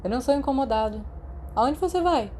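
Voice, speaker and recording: A woman's voice: sits high, expressive, fairly quick, darkish tone, slight background noise, voice pushed up. A woman voicing an earnest, curious and proud schoolboy in an audiobook, inquisitive and forthright. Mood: wry. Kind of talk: audiobook character voice in Brazilian Portuguese.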